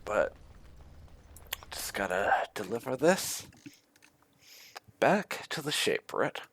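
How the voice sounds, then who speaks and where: A man giving casual, musing roleplay commentary over a video game, with a gravelly voice. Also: medium pitch, very animated, slow, fairly bright tone. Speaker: gaming video host.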